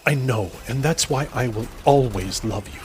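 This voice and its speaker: A man's voice: mid-range voice, normal speed, lightly acted. A man acting a loving, self-sacrificing alien in an audio drama, solemn and tender. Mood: tender, resolute.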